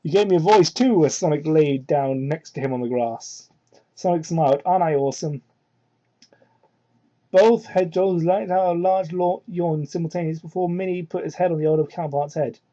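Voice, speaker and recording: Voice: mid-range voice, moderate pace, warm tone, muffled recording. An exasperated, incredulous amateur fan fiction reader. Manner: exasperated, incredulous, foul-mouthed. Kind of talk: mocking dramatic reading with ad-libbed asides. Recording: poor-quality hobby microphone.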